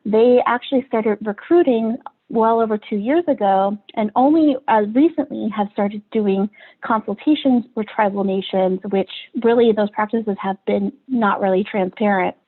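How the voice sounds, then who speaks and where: Woman presenting over tinny call audio. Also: medium pitch. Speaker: researcher giving a conference presentation. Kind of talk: academic presentation with slides. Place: indoors, on a video call. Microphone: video call microphone.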